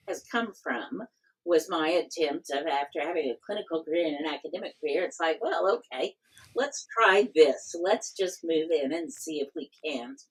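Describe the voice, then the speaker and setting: A woman in her fifties, a professor and company founder, telling stories in a lively way in a podcast interview. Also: low-pitched, breathy edge, poor audio.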